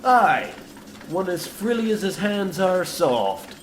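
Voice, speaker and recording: A man playing a gruff, scornful veteran coal mine foreman in a comic radio play, with a folksy, menacing delivery. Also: high-pitched, very animated, slow pace, exaggerated voice. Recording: roomy, noisy mine ambience.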